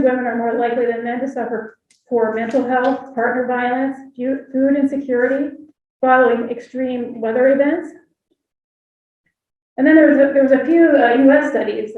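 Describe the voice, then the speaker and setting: A woman panelist giving a prepared, measured presentation read from notes. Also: high-pitched. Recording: distant, phone-like, echoey room.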